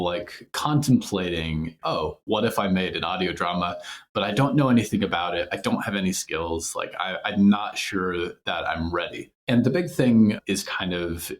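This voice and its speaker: A man chatting casually as an interview guest about his creative work, in an informal, reflective, halting manner. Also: fairly low pitch.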